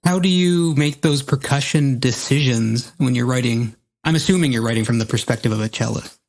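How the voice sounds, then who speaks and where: A man's voice: medium pitch, a little fast, darkish tone. A man hosting a casual interview, asking questions hesitantly and rephrasing them as he goes. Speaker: podcast host.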